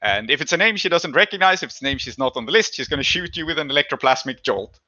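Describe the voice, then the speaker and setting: A man's voice: on the high side, animated, quick pace, pushed and bright, highs cut off. A man acting as game master, narrating scenes and explaining rules while checking in with players.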